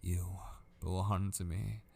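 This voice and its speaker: Man playing a low, gravelly, obsessive kidnapper. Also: level tone, darkish tone, a little hiss or hum, heavily acted. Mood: jealous, possessive. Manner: slow, taunting, often dropping into whispers. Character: obsessive mortal male yandere kidnapper, menacing and possessive. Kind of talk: intimate ASMR roleplay acting.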